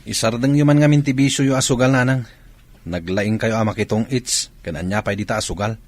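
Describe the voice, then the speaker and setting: A man plays a weary husband and grown son in an Ilocano drama. He sounds defensive, in an ordinary male pitch, with a close, dry recording. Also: fairly even intonation, a little fast, no room sound, close to an everyday voice. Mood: exasperated. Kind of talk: Ilocano audio drama acting.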